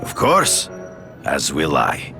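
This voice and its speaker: Proud old hunter, super low voice, slow and solemn, grandiose game villain type. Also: male voice, wildly swooping pitch, creaky, over music, exaggerated voice. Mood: persuasive, measured. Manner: grandiose, formal, deliberate. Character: proud, solemn male master hunter, aging and melancholy. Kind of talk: dramatic video game acting.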